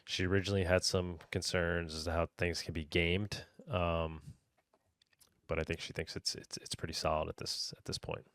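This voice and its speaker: Male developer, casual podcast explainer, lowish resonant voice, dry sound, keeps seeking agreement. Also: flat delivery, moderate pace, fairly bright tone, no room sound. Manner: explanatory, often checking with "right?". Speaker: marketplace developer explaining features.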